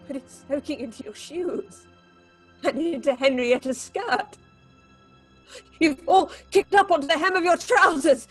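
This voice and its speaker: A woman playing an unhinged theatre actress haunted by visions of blood, speaking in a halting, repetitive, feverish way in a horror audio drama. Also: high voice, soft background music. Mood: mad laughter.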